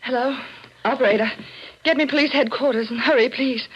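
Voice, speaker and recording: An actress playing a high-strung, determined widow with a dramatic, urgent delivery in a 1940s radio mystery. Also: female voice, fairly even intonation, fairly quick, noisy background, close to an everyday voice. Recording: dull old radio recording.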